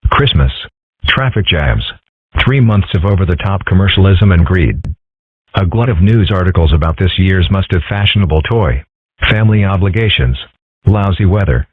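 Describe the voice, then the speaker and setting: A man voicing a calm, philosophical, dryly skeptical young fox boy in an amateur comic dub, with measured, deadpan delivery. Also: sits low, breathy edge, no room sound. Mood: wry. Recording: muffled, tinny phone-like audio.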